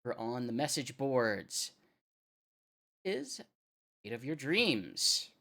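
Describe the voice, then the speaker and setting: A woman's voice: extremely low pitch, very animated, takes it slowly, gravelly, a bit bright. A woman hosting a live music stream, chatting casually and informally with a self-deprecating manner as she plays a rhythm game. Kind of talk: casual chat while playing a rhythm game.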